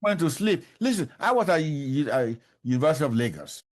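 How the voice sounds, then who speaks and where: Older man, interview guest, emphatic, repetitive stress, somewhat high pitch. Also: big pitch swings, a little fast. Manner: emphatic, repeats key phrases. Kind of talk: interview answers.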